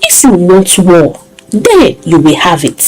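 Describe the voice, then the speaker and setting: Storyteller lady as betrayed young woman, bitter but holding it in, lively pitch, music behind. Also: takes it slowly, heavily acted, low-quality recording. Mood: bitter resolve.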